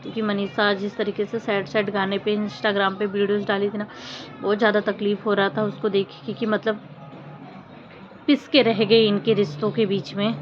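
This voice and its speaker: A woman's voice: medium pitch, flat delivery, normal speed, over music. A woman giving informal opinion commentary to her viewers, speaking in an earnest, reproachful, emphatic manner with rhetorical questions. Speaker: vlogger commenting on social media controversy. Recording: muffled lo-fi audio with faint noise.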